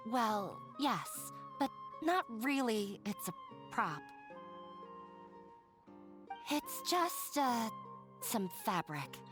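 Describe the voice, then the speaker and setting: A woman's voice: a little high, big pitch swings, takes it slowly, music in the background. A shy, stammering young woman. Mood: flustered. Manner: hesitant, flustered, stammering. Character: shy, nervous young woman, self-doubting. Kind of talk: game cutscene voice acting.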